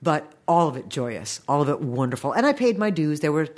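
A woman's voice: deep voice, sing-song, brisk, darkish tone. An American woman in her fifties telling anecdotes in a casual interview, speaking with enthusiasm and acting out quotes. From Long Island, New York, United States. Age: 50s. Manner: enthusiastic, effusive, animatedly quoting people in stories. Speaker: interview guest, animation voice director.

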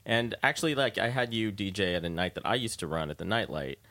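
A man's voice: lowish voice, fast, bright, forceful tone. A man hosting a casual interview show on the radio, chatting informally from a studio.

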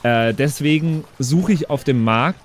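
Halting man, noisy background. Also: on the high side. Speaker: tabletop role-playing player. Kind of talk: casual improvised game talk. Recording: clearly audible background noise.

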